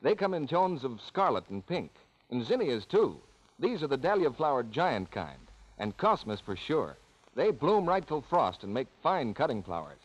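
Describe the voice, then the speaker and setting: A man's voice: mid-range voice, sing-song, moderate pace. A man announcing a 1940s radio advertisement in a formal, instructive manner. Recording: dull old radio recording.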